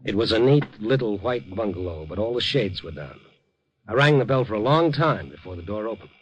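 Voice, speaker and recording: An actor playing a tough, wry, incredulous newspaper reporter in a 1950s radio drama. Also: male voice, on the low side, animated, brisk, muffled recording, slight background noise, close to an everyday voice. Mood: wry, narrating. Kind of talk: 1950s radio drama, first-person narration and dialogue.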